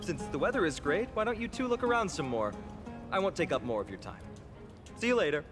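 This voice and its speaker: A man's voice: high-pitched, big pitch swings, a little fast. A man playing an earnest, courteous young soldier hero in a video game. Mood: friendly, lighter. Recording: game music under the voice.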